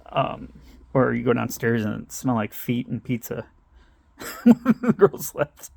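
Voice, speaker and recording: A man's voice: mid-range voice, big pitch swings, unhurried, vocal fry, a little mellow, husky, no echo, clean studio sound. A middle-aged man telling a meandering personal story, interrupting himself and laughing at his own tangents. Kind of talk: rambling personal anecdote.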